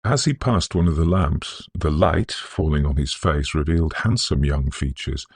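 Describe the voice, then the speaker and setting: A very deep-voiced man narrates a Victorian ghost story. His delivery is scholarly and measured, with a husky grain, recorded close and dry. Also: no echo.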